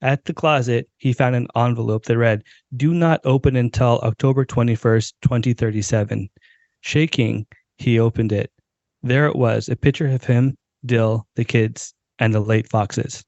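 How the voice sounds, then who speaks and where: A man's voice: medium pitch, steady tone, moderate pace, darkish tone, no echo. A young man who co-hosts a podcast, talking and reading in a plain conversational voice. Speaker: podcast co-host and amateur writer. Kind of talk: dramatic story reading, then casual chat. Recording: dry, slightly dull close recording.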